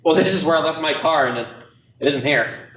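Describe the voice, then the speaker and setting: A man's voice: a little high, fairly quick, a bit bright, slight background noise, natural voice. A man playing a despairing, irritable small-town family man in an amateur radio play, folksy, sarcastic and hesitant. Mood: bewildered. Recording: echoey, distant mic with music behind.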